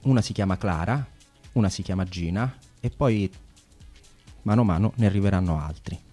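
A man with a low, mellow voice gives a calm, explanatory introduction to a short play. Faint music plays underneath him. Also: moderate pace. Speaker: theatre presenter. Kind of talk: live spoken introduction.

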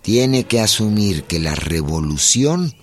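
Slow-paced male radio drama narrator. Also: on the low side, big pitch swings, a little hiss or hum. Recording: loud music and effects behind.

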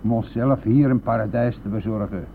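A man's voice: fairly low pitch, fairly quick, gravelly. An actor playing a chatty, coarse, self-pitying, hard-drinking bus driver who needles his passenger in a colloquial radio-drama style. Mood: boisterous. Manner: garrulous, jovial, needling, colloquial. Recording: tinny, band-limited, noisy old radio-play recording.

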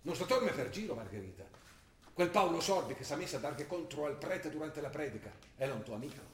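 A man's voice: fairly high pitch, sing-song, quick pace, a little hiss or hum. An actor playing a stern father from Trentino who scolds his daughter in dialect, recorded on stage. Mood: reproachful. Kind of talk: stage play broadcast on radio. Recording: distant, echoey stage recording with music.

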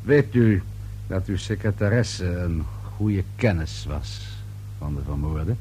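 An actor playing a dry, persistent police inspector in a radio play, questioning in a measured, searching way. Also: male voice, sits low, lively intonation, mellow. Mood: probing, dry. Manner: measured questioning with hesitant fillers. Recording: hummy, noisy old radio recording.

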